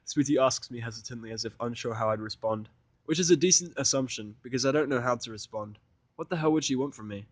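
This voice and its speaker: A man's voice: mid-range voice, quick pace, dry recording. Man reading a story, dry close sound. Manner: irreverent, sweary. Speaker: fan fiction reader. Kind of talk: first-person story narration with casual asides.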